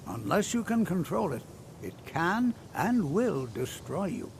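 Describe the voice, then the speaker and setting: A man's voice: high voice, big pitch swings, measured pace, vocal fry, noisy background, heavily acted. An actor playing a kindly elderly wizard teacher in a video game, patient, cautious and courteous as he instructs. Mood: welcoming, instructive. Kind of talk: video game character dialogue.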